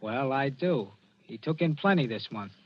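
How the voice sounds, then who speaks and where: An actor playing a scheming, smooth-talking crook who coaxes his pal in a 1940s radio drama. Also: medium pitch, lively intonation, moderate pace, slight background noise, natural voice. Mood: coaxing, assured. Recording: muffled old radio broadcast recording.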